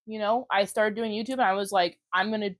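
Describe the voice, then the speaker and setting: A woman's voice: medium pitch, fairly even intonation, fast talker, fairly bright tone, no echo. Chatty, giggly young woman podcaster. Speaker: podcast host. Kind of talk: casual chat.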